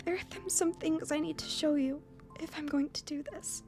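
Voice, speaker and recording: A woman's voice: moderate pace, creaky, darkish tone, soft background music. A woman voicing a proud but scared teenage girl from a visual novel, raw and emotional. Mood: tentative. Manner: raw, emotional, high-pitched outbursts and pleading. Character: wounded, fiery teenage girl, proud yet scared and vulnerable.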